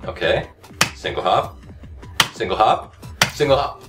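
A man in his thirties doing comedic game commentary at home, cocky and self-hyping, going hoarse as he swings from low mutters to shouted outbursts. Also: expressive, a little slow. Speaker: comedy gaming host. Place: home room, indoors. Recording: somewhat distant mic, loud game music behind.

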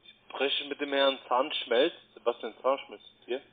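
A man's voice: mid-range voice, flat delivery, moderate pace. A man making a phone sales call as a call centre agent, formal and insistent. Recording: tinny narrow phone line.

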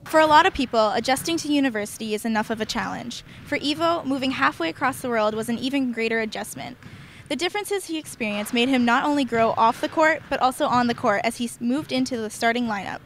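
A woman's voice: fairly high pitch, fast talker, slight background noise. Young woman reporter reading news. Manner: even, read-aloud delivery. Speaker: campus TV sports reporter. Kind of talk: scripted news narration. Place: indoor gym. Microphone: handheld mic.

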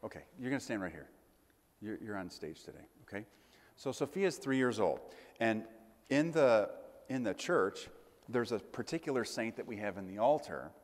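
A middle-aged man, a priest, giving a conversational sermon in a church, often pausing with fillers and restarting phrases. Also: on the low side. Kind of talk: sermon to a congregation. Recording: long church reverb.

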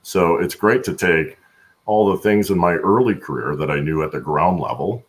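Very deep, gravelly-voiced man. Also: moderate pace. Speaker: podcast guest, supply chain executive. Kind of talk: conversational interview answers. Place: indoor room at home, on a video call. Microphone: video call.

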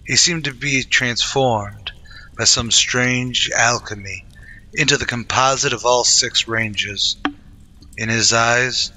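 A man's voice: medium pitch, slow pace, sharp tone, poor audio. A man narrating a scripted drama in a dramatic old-time radio style.

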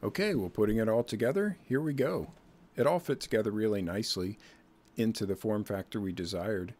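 A man's voice: lowish voice, a little fast. A man narrating a hands-on project in a casual, explanatory, lightly wry conversational way. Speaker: host of an electronics hobby channel. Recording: clear steady background noise.

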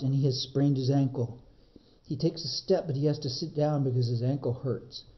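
A man reads a children's story aloud like a librarian at story time. His pitch moves up and down a lot, with dramatic, measured phrasing. The sound is a little muffled. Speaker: librarian reading a children's book. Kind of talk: expressive storybook narration.

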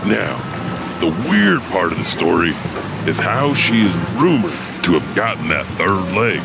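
A man plays a mysterious janitor hosting a horror audio drama. His low voice swings widely as he relishes grim legends with dark amusement. Loud music runs underneath. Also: sing-song, unhurried, creaky, heavily acted, poor audio. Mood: darkly amused. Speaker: storyteller host of a horror anthology. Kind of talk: theatrical horror narration. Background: prominent music.

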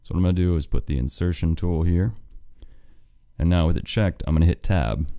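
An American man, an audio engineer, giving a screen-recorded software tutorial in a plain step-by-step way. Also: low voice, a little fast, creaky, clean tone, no room sound, studio quality.

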